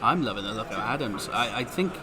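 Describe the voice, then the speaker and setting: A man's voice: on the low side, expressive, fairly quick, a crowd in the background. A man from Wales with a Cardiff accent, co-commentating a card game stream in casual chat, often restarting his words. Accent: Welsh, Cardiff. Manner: chatty, restarts and stammers.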